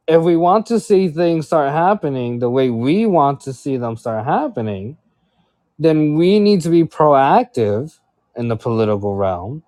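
Lively, persuasive man on a panel. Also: medium pitch, no echo. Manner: structured, persuasive, keeps saying right. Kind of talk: casual panel discussion.

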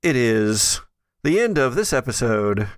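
A man improvising as game master in a tabletop game, speaking haltingly as he searches for words. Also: medium pitch, sing-song, no room sound, studio quality.

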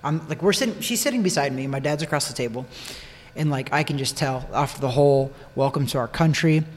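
A man's voice: mid-range voice, brisk, slight background noise. An American man telling a personal story in casual conversation, repeating phrases for emphasis. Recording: long echoing reverb.

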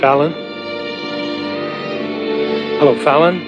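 Smooth scheming rich heir guy, 80s tv soap, dull audio w music under it. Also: on the high side, steady tone, slow. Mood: brazen, confrontational. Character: smooth, scheming, brazen young male heir. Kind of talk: 1980s television soap drama acting.

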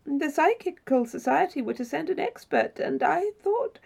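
A woman voicing a courteous, formal older gentleman in an audiobook story, a solicitous and welcoming host. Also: sits high, very animated, moderate pace, gravelly, pitched above the performer's own voice, full character voice. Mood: apologetic, welcoming.

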